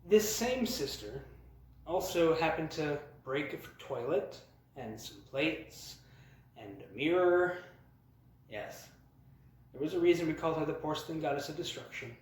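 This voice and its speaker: A man telling humorous personal stories as a host, in a theatrical, mock-grand way with dramatic pauses. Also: on the high side. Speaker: storyteller, host of a storytime series. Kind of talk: humorous personal storytelling to camera. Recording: very echoey, roomy sound.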